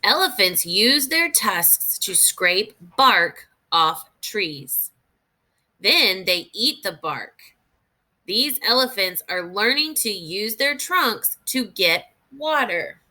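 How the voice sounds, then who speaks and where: Bright, slow, animated woman teacher reading aloud. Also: mid-range voice. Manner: deliberate, expressive, clearly phrased for young learners. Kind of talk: instructional read-aloud of a children's nonfiction book with think-aloud comments.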